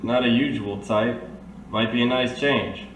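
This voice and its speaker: A man playing a gruff, suspicious brothel doorman with blunt, clipped street talk in a radio drama. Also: medium pitch, steady tone, unhurried, lightly acted. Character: gruff, suspicious thug minding a brothel door. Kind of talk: old-time radio drama acting. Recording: distant echoey room with clear noise.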